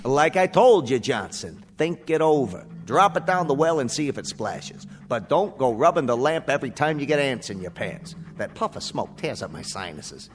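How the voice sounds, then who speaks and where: A man's voice: mid-range voice, big pitch swings, normal speed, hardly any pauses, slight background noise, natural voice. An actor playing a grumpy male genie who talks in sarcastic beatnik slang, in a radio drama. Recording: long hall reverb.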